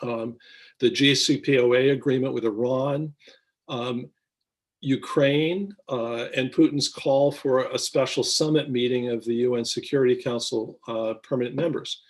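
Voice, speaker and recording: Husky American man, halting analyst. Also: medium pitch, steady tone. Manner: halting, deliberate phrasing with frequent ums and pauses. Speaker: foreign policy analyst, interviewee. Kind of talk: measured expert commentary in an interview.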